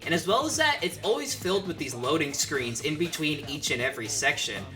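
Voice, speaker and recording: A man's voice: on the high side, big pitch swings, brisk, sharp tone, soft background music. A man giving a casual, opinionated video essay narration on games, with some swearing. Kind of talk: casual game review narration.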